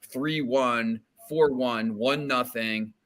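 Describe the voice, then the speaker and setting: A man's voice: medium pitch, drawn-out words, a bit bright. A man from New Jersey, a guest on a sports betting podcast, talking casually and rambling with many restarts and fillers. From New Jersey, USA.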